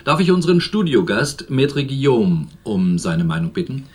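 A man's voice: medium pitch, expressive, moderate pace, darkish tone, natural voice. An actor playing a formal male television talk show presenter within a radio drama.

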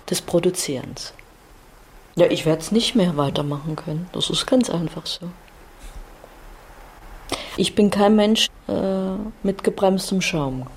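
A woman's voice: fairly low pitch, darkish tone, husky. A woman speaking personally and passionately in short emphatic statements. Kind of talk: personal, heartfelt talk.

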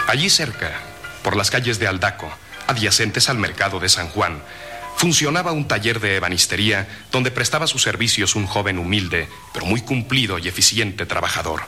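A man's voice: lowish voice, animated, sharp tone, faint music behind. A man narrating a radio serial in a solemn, declamatory, dramatic style. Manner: solemn, declamatory, measured phrasing.

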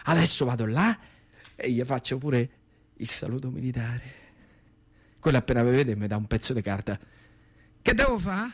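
An actor playing a naive, lame country youth recalling wartime in a theatrical radio monologue, colloquial and repetitive, with a central Italian accent. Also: male voice, medium pitch, sing-song, moderate pace, pauses often. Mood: eager, naive. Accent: central Italian, Lazio. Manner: colloquial, breathless, repetitive, wide-eyed.

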